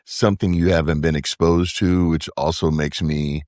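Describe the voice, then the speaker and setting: A man's voice: a bass voice, steady tone, normal speed, darkish tone, dry recording, clean studio sound. A man speaking as a podcast guest, reflective and earnest in casual conversation, with the occasional burst of profanity. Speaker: podcast guest, brewery co-founder.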